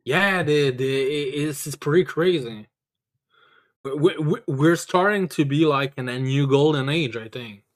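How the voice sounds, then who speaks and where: A man co-hosting a podcast, chatting casually in a halting way and often repeating his words. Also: fairly high pitch. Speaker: podcast co-host. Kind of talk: casual chat.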